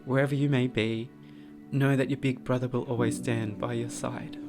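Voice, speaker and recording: A young man from Cambodia, telling his story as a business founder in a soft, earnest documentary narration with frequent pauses. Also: mid-range voice, flat delivery, music in the background. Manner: soft-spoken, earnest, pausing with frequent ums. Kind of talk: documentary testimony and reflective narration.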